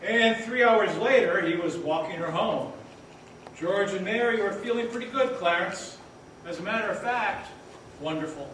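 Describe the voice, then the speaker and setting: A man acting a folksy heavenly narrator is heard from afar in a very echoey, noisy hall. His high voice explains the tale patiently and conversationally, as in a radio play. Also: lively intonation, normal speed, a bit bright. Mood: genial. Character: patient, folksy heavenly storyteller briefing a pupil. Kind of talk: radio drama narration on stage. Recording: very echoey, distant, noisy stage recording.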